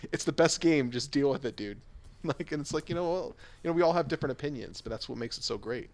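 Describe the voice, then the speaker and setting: A man's voice: fairly high pitch, expressive, fast talker, a little mellow. A man back as a returning guest on a gaming podcast, talking in an easygoing way full of "you know" and "I mean". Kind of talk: casual chat.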